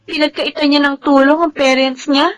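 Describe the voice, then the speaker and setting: Woman acting as dismayed daughter, high pitch, young, indignant, radio drama. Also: level tone, normal speed, lightly acted.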